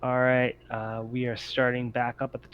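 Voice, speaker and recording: A man's voice: mid-range voice, completely flat delivery, normal speed, smooth, narrow, tinny audio. A man acting as game master, explaining turns and rules of a tabletop roleplaying game in hesitant phrasing.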